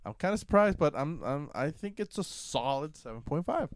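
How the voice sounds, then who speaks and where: A man's voice: fairly high pitch, big pitch swings, normal speed, no room sound, studio quality. A man co-hosting a podcast in casual review banter, swearing freely and agreeing in a laid-back way. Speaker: podcast co-host.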